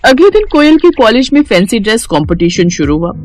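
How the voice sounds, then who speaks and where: A woman's voice: a little high, sing-song, a little fast, darkish tone. A woman storyteller reading scripted narration for a moral tale.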